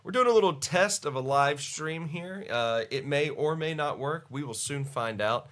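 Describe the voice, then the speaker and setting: A man's voice: on the high side, normal speed, a bit bright. A man hosting a podcast live stream in casual chat, with a stuffy, congested voice, rambling and laughing often. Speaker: podcast host.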